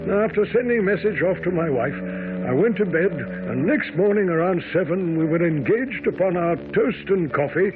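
An actor playing a genial Victorian gentleman companion in a radio drama, with expressive, old-fashioned storytelling diction. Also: sits high, moderate pace, music in the background. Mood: narrating. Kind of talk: radio drama narration and dialogue. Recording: tinny, narrow-band vintage radio broadcast.

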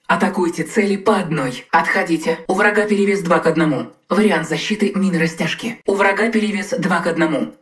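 A woman's voice: lowish voice, lightly acted. Ion, a cool robot AI voice, voiced by a woman. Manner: even, clipped military reports. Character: female combat robot AI, calm and precise. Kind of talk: dubbed game voice lines.

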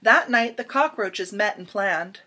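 A woman in her thirties reading a children's picture book aloud in an expressive, dramatic storytelling manner with emphatic pauses. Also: mid-range voice. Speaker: storybook reader. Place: small indoor room at home.

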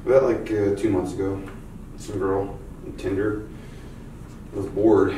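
A man's voice: lowish voice, flat delivery, unhurried, warm tone, a little hiss or hum, close to an everyday voice. A man at a script table read playing an insecure, heartbroken, doubting guy who stammers hesitantly and swears. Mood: sheepish, regretful. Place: meeting room around a long table, indoors. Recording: very echoey room, distant mic.

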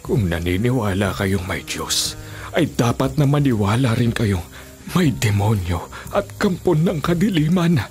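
A man's voice: mid-range voice, very animated, unhurried, darkish tone, faint music behind. An actor playing an older village man in a Filipino radio drama, earnestly telling tales with hesitant, emphatic phrasing. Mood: earnest, insistent. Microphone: studio condenser mic with pop filter.

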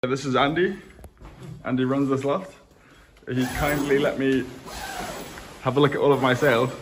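A man's voice: medium pitch, fairly even intonation, moderate pace, noisy background. A young man talking casually as a sailor vlogging his preparations. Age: young adult. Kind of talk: casual vlog chat.

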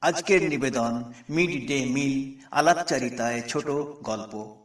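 A man's voice: mid-range voice, slow pace. A man making a formal announcement and introduction, as a story announcer. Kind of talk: public announcement and title introduction. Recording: echoey, distant from the mic.

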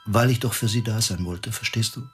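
Condemned husband and father for radio play, acted by a man: earnest, halting, fairly deep, sorrowfully gentle. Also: soft background music, close to an everyday voice. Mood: subdued, tender. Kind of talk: German audio drama acting.

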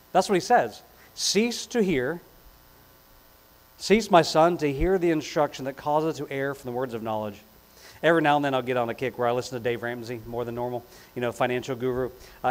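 A man's voice: medium pitch, low-quality recording. A middle-aged man preaching a sermon as a pastor, emphatic and repeating key phrases, with conversational asides. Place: indoors on a church platform. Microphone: lapel mic.

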